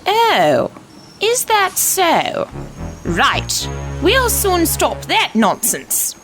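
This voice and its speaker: Woman as a haughty, lively cartoon railcar lady. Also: very high voice, very slow, full character voice. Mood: determined. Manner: haughty, theatrical, scolding. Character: haughty, sassy female diesel railcar, bold and sharp-tongued. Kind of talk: cartoon comic dub. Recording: music playing behind.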